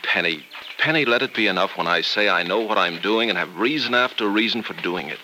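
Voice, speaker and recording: An actor with an American accent playing a stubborn, lovesick young doctor with a gallant manner in an old-time radio soap. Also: male voice, fairly low pitch, lively intonation, fairly quick, bright, forceful tone. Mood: resolute, pained. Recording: noisy old radio broadcast.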